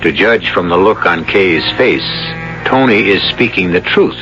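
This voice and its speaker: A man's voice: low voice, animated, a little slow. A man hosting and narrating a 1970s radio mystery in an ominous, wry, formal manner. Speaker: host and narrator. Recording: muffled old radio recording. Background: music.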